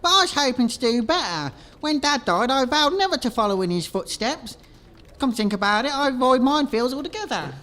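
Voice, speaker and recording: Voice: high voice, sing-song, brisk, fairly bright tone, slight background noise. A performer playing a meek, earnest, simple-minded jobless man in a comic radio sketch, polite and hesitant.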